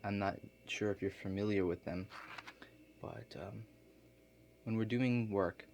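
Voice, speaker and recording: A man playing a laid-back construction contractor in an ASMR role play, explaining things to a client in a halting, matter-of-fact way. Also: on the low side, darkish tone, a little hiss or hum. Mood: casual, unhurried.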